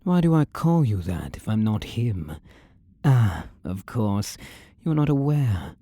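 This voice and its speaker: Slow, seductive demon lover played by a man. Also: lowish voice, very animated, mellow, no echo, full character voice. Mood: possessive, seductive. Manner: slow, commanding, sinister purr, often dropping to whispers. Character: possessive, seductive male demon lover in a human body. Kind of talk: intimate audio roleplay addressed to the listener.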